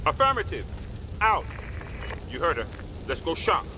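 An actor playing a commanding army sergeant in a military shooter game, barking terse orders and radio jargon. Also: male voice, a little high, big pitch swings, measured pace. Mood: businesslike. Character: gruff, commanding army sergeant leading a squad. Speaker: squad sergeant. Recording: loud battle and vehicle noise.

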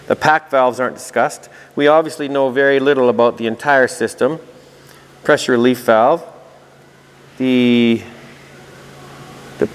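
A man giving an informal technical walkthrough, reading aloud and thinking out loud with dry asides. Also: mid-range voice. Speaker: host of a technical explainer video. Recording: reverberant room with long echo.

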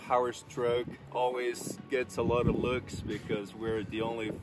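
A man's voice: mid-range voice, little pitch movement, a little slow, very noisy. A young adult man chatting casually outdoors, a step or two from the camera. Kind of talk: casual interview chat. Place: outdoors by a road.

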